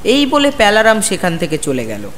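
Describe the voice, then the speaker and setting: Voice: mid-range voice, wildly swooping pitch, moderate pace, fairly bright tone, a little hiss or hum. A narrator reading a scripted ghost story with dramatic storytelling delivery.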